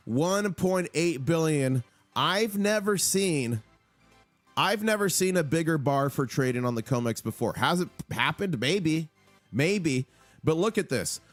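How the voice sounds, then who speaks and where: Hoarse, hyped man hosting a livestream. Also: on the high side, no echo. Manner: urgent, hyped, repeats key numbers. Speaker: livestream host on silver markets. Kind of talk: excited live market commentary.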